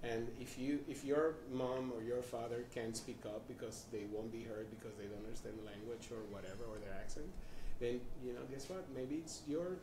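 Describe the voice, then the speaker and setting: A man on a discussion panel speaking in a thoughtful, halting way. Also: medium pitch, distant mic, noisy background. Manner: thoughtful, halting, restarts phrases. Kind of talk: reflective panel talk. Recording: roomy, from off-mic.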